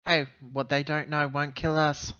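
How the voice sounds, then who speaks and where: Bright, dry-sounding man describing his game character. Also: on the high side, level tone, moderate pace, smooth, no echo, dull audio. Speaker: player in a tabletop roleplaying game. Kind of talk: casual in-character play and description.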